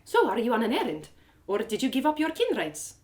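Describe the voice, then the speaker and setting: A woman's voice: on the high side, wildly swooping pitch, fairly quick. A woman voicing a frank, inquisitive former woman thief in an audio drama, talking in a chatty, knowing, probing way.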